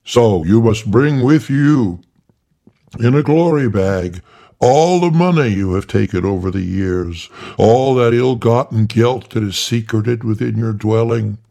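A man voicing a trickster who poses as an archangel, thundering slowly and grandly. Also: mid-range voice, creaky, dark tone.

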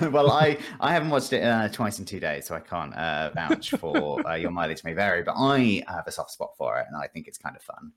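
A man's voice: low-pitched, big pitch swings, a little fast, fairly bright tone. A man hosting a casual gaming podcast, rambling with dry jokes and swearing freely, close on a desk microphone. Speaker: podcast host. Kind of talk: casual chat about games and films. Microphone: close desk USB microphone.

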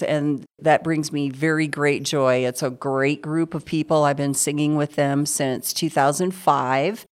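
Low-voiced woman in her sixties. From South Dakota. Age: 60s. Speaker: city council member, guest. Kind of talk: conversational interview.

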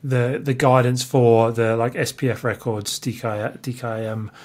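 A man's voice: medium pitch, level tone, unhurried, a little mellow. A man hosting a podcast, asking questions in a conversational interview style. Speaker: podcast host.

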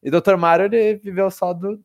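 A man's voice: sits high, steady tone, fairly quick. A man, co-hosting a casual podcast chat about video games, rambling with many restarts. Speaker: podcast co-host.

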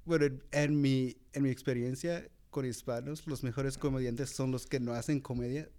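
A man's voice: medium pitch, steady tone, moderate pace, warm tone. A man co-hosting a casual podcast chat, easygoing and agreeable, often chiming in. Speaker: podcast co-host.

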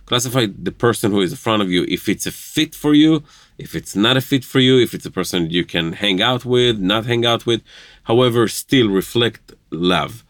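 A man's voice: fairly low pitch, expressive, moderate pace, fairly bright tone, no room sound, studio quality. An Israeli man talking earnestly on a podcast, in slightly broken English, often laughing. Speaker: podcast guest. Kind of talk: casual conversation.

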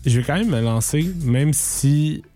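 A man's voice: medium pitch, lively intonation, unhurried, dark tone, faint music behind. A man with a Quebec French accent on a sports panel, eager and enthusiastic in casual banter.

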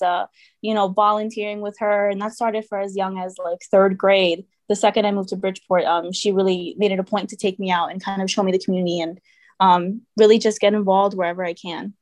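A woman's voice: mid-range voice, little pitch movement, fairly quick, darkish tone, no echo. A young woman from Connecticut, talking as a podcast guest in a chatty way, full of fillers and hedges. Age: young adult. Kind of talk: casual podcast interview.

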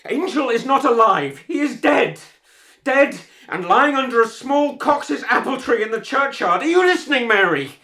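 A man with a British accent playing a weary, exasperated husband in a dramatic monologue, with a husky, gravelly voice. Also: deep voice, unhurried, pauses often, exaggerated voice. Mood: exasperated, shouting. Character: weary, exasperated, grieving husband telling his tragic story. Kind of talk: dramatic first-person monologue.

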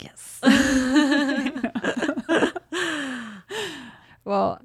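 Female interviewer, low and a bit gravelly, asks long trailing questions. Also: dry recording, clean studio sound. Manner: long rambling questions, soft trailing endings. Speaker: podcast host interviewer. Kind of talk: warm conversational interviewing.

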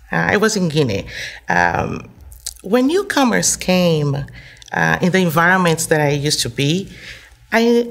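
A woman's voice: medium pitch, vocal fry. A woman, a psychotherapist and humanitarian, talking thoughtfully with frequent fillers and restarts. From Brazil. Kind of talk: reflective interview talk.

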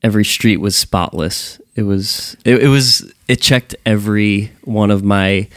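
Low-voiced Jersey man, dry close sound. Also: unhurried, no echo. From New Jersey. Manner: earnest, self-deprecating, frequent small restarts. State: a little tired. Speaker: guest, band frontman. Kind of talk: casual interview chat.

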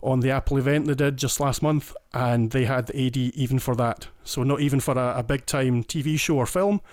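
A man's voice: mid-range voice, fairly even intonation, a little fast, a little mellow, no room sound, clean studio sound. A Scottish man talking eagerly and at length as a guest in a casual podcast chat, often restarting his sentences. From Scotland. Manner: enthusiastic, explains in detail with frequent restarts. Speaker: guest listener.

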